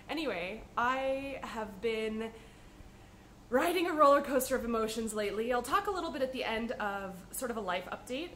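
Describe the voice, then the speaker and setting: A woman's voice: fairly high pitch, a little hiss or hum. A woman hosting a podcast, chatting casually to camera in an upbeat, rambling way, slightly sniffly from a cold. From United States. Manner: chatty and upbeat with frequent ums. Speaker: podcast host.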